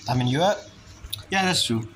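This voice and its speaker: A woman's voice: extremely low pitch, expressive, normal speed, background noise. A woman giving casual, offhand, slangy reactions as a gamer during a story game playthrough. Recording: game music behind the voice.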